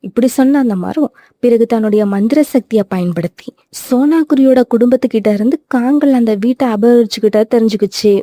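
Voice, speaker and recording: Mellow woman narrating a cartoon story. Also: on the high side, fairly quick, no room sound, clean studio sound.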